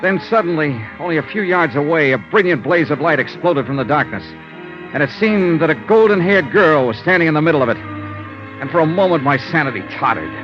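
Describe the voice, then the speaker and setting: An actor playing a hard-boiled investigator who tells his own ordeal in a deliberate, intense 1950s radio drama style. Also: a little high, animated, close to an everyday voice. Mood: grim, dramatic. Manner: deliberate, intense, theatrical storytelling. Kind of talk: 1950s radio drama acting, dramatic first-person narration. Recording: dull vintage radio recording with prominent music.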